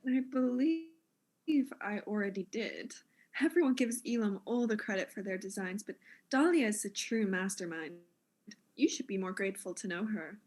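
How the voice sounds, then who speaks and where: An actress playing a vain, self-important fashion mogul in a comic audio drama, arch and condescending in manner. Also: female voice, medium pitch, animated, normal speed, a little mellow, lightly acted. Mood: arch, teasing.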